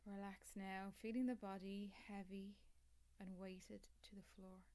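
A woman guides a relaxation practice in a soft, mid-pitched voice with very little melodic movement. Her delivery is calm and measured, with long pauses between short instructions. Phrase endings often fall away into breath, giving it a soothing feel. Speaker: yoga nidra teacher. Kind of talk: guided meditation, scripted instruction.

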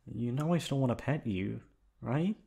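A man playing an affectionate, teasing young boyfriend in a roleplay audio, coaxing playfully with drawn-out words. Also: medium pitch, expressive, gravelly, dark tone, breathy edge. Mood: playful, searching. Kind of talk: roleplay ASMR audio acting.

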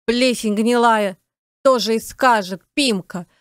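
A scornful, high-voiced peasant wife. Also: female voice, slow pace, no echo, studio quality. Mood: scornful fury. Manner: scornful, berating, folksy rural speech. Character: furious scolding peasant wife, coarse and wild. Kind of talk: audiobook radio-play acting.

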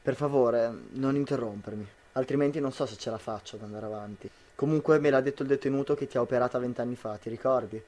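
A man's voice: medium pitch, quick pace, hardly any pauses, a little mellow, lightly acted. A young man playing a troubled, disbelieving husband in an amateur radio drama. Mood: earnest, troubled. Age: young adult.

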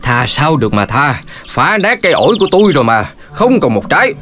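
A man's voice: on the high side, sing-song, quick pace. A man voicing a stern, exasperated middle-aged father in a children's cartoon, lecturing emphatically with a Southern Vietnamese accent. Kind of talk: children's cartoon dub acting. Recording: loud music behind the voice.

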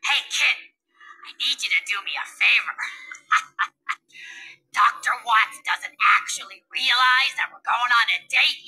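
A woman voicing a grumpy, lovesick older school lunch lady in a video game, brash and coarse, with a loud, nasal, cackling voice. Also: high voice, breathy edge, heavily acted, poor audio. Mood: scheming. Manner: brash, coarse, swooning over a teacher. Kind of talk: video game cutscene acting.

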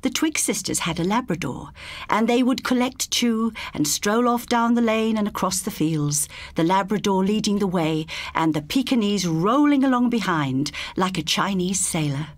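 Lady reading an audiobook story, mid pitch, expressive and measured, no echo at all. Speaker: audiobook author-narrator. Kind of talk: scripted story narration.

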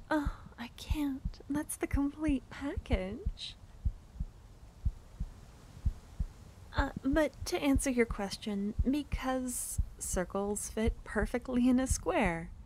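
Girl doing a shy sweet witch for asmr roleplay, slow n soft, kinda high, breathy, up close on the mic w faint noise. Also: low-quality recording. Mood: tender, musing. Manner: soft, breathy, hesitant, often dropping to a whisper. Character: shy, kind-hearted, lonely young witch, rambling and smitten. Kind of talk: ASMR fantasy romance roleplay. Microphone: close ASMR microphone.

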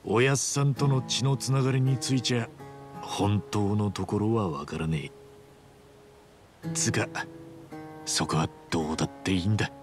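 A man's voice: lowish voice, moderate pace, long pauses, over music, lightly acted. Earnest, loyal actor's middle-aged man. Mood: quiet, resolute. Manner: casual, rough but sincere speech. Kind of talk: dramatic video game voice acting.

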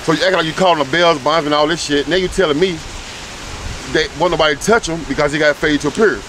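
Fast-talking man lecturing outdoors. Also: fairly high pitch, fairly even intonation, a bit bright, a little hiss or hum, poor audio. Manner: lecturing, profane, insistent. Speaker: street interviewer. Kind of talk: blunt street talk. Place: outdoors in a rainy parking lot.

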